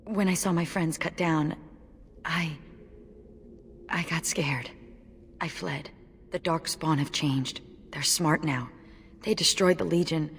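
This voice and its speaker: Plucky female warrior, low-key wry humor, chatty, long reverb, faint noise, video game. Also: slow, long pauses. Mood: shaken.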